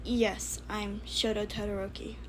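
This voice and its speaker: A woman voicing a stoic, blunt teenage boy hero student with flat, clipped, deadpan delivery in a story reading. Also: medium pitch, measured pace, a little hiss or hum, natural voice. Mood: flat, determined.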